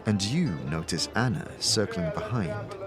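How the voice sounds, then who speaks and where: A man's voice: on the low side, expressive, a little slow, over music. A man giving dramatic second-person narration as the narrator of a role-playing audio drama. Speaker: narrator and game master.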